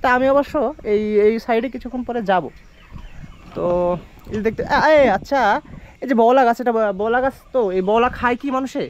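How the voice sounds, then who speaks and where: A man's voice: very high-pitched, brisk, dull audio. A man narrating a casual village walk vlog, chatting to viewers and asking locals questions. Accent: Bengali. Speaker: travel vlogger. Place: outdoors in a village. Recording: noisy outdoor recording.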